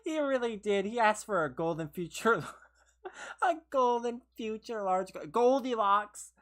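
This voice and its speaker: A woman's voice: on the high side, expressive, unhurried. Tired, laughing woman streaming a game. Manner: laughs often, playful teasing of chat. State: tired and losing her voice. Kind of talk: casual live gameplay commentary with chat. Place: her own room at home.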